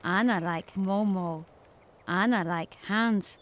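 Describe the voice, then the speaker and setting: A simple village boy played by a woman in an adventure game. He speaks slowly and haltingly, in childlike broken phrases at a middling pitch. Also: natural voice. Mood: simple, earnest. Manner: halting, broken third-person speech. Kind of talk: video game character acting.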